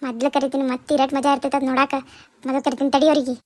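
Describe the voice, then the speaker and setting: A woman's voice: high-pitched, level tone, very fast, natural voice. A woman playing a spiteful, gloating relative in a family drama, taunting smugly with a North Karnataka accent. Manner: taunting, smug.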